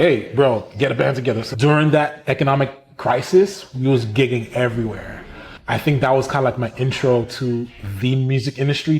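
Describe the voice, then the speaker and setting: A man, telling his story in a casual interview, animated and quick to laugh. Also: medium pitch. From Brooklyn, New York. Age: 30s. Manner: animated, chatty, laughs often. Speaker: musician and community organizer, interviewee.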